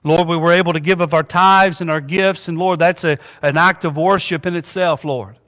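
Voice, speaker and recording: A man's voice: fairly high pitch, fairly even intonation, moderate pace, a bit bright. A man pastor preaching a sermon in a church, praying and then calling out to the congregation with "amen?" and fill-in-the-blank questions. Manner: call-and-response preaching, asks congregation "what?" and "amen?". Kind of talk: sermon with prayer. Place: church sanctuary. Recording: reverberant church hall.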